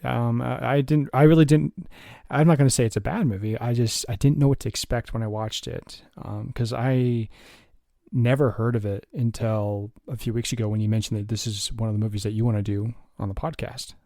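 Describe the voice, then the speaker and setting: A man co-hosting a movie podcast, speaking in a halting, candid way with many restarts. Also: medium pitch, steady tone, creaky, warm tone, no room sound, studio quality. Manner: hesitant, honest, halting restarts. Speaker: podcast co-host. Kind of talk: casual chat.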